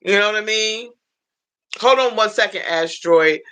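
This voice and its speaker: An American woman hosting a casual livestream, telling stories in an animated way, repeating phrases and acting out what people said. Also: mid-range voice, normal speed, clean tone. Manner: animated storytelling, repeats phrases, acts out quotes. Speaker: livestream host.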